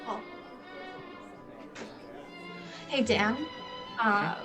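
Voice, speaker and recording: A woman playing a confident, teasing costumed crimefighter in a fan-made drama, with a brisk, assertive manner. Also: a little high, steady tone, music in the background. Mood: friendly, casual. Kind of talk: fan-made dramatic voice acting over video call.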